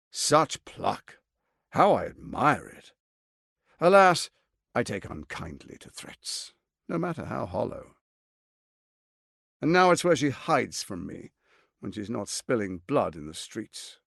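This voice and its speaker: A man playing a smooth-tongued, condescending tyrant lord in a video game, with a pompous, theatrical delivery and deliberate emphasis. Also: medium pitch, very animated, no echo, studio quality. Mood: mocking, threatening.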